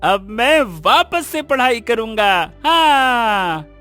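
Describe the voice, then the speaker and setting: An actor playing an earnest, humble and repentant village schoolboy in a cartoon moral story. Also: male voice, very high-pitched, big pitch swings, extremely slow, bright, forceful tone, faint music behind, heavily acted. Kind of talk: animated moral story dubbing.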